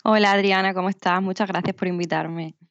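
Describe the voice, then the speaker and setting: A woman in her thirties from Spain with a southern Spanish accent, answering interview questions from home in a relaxed, reflective way. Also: medium pitch, brisk, no room sound, dull audio. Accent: southern Spanish, Extremadura/Andalusia. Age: 30s. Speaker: guest writer.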